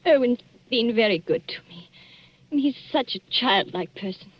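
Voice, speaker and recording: A woman playing an earnest, restrained wife in a 1940s radio melodrama, with a husky voice and measured delivery. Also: on the high side, expressive. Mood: tender, earnest. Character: earnest, restrained wife torn between lover and husband. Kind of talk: 1940s radio drama acting. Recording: muffled old radio recording with music.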